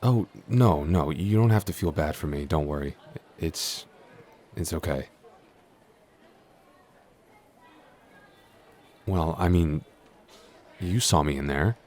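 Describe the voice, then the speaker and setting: A man's voice: rumbling low, measured pace, darkish tone. A man playing a shy, clumsy giant in a roleplay audio, stammering, hesitant and constantly apologetic. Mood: self-deprecating. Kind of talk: intimate roleplay audio acting.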